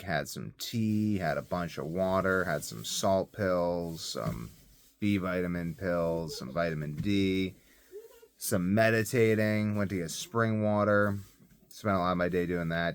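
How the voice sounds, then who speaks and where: A man's voice: sits low, measured pace, clear, resonant tone. A man talking casually in a rambling video diary, repeating phrases for emphasis, recorded on a phone set up nearby. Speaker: self-experiment health vlogger and parent. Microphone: phone set up close by.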